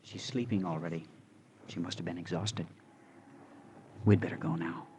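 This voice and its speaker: An actor playing a gentle, devoted young drifter in old radio drama, talking simply, earnestly and hesitantly. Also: male voice, low voice, steady tone, moderate pace, close to an everyday voice. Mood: earnest, halting. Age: young adult. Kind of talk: 1940s-50s radio drama acting. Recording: noisy vintage radio recording.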